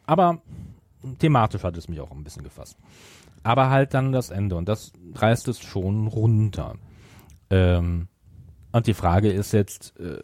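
A man's voice: on the low side, darkish tone, dry recording. A man co-hosting a podcast, chatting casually as he gives a review. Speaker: podcast co-host.

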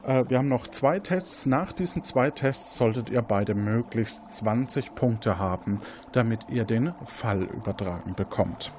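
A man's voice: medium pitch, a little slow, a little mellow, slight background noise. A man moderating an interactive audio quiz game as its host.

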